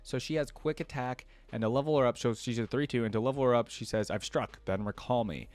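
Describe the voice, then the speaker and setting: A man's voice: mid-range voice, fast talker, a little mellow, dry recording. A man giving casual, off-the-cuff reaction commentary as a video host.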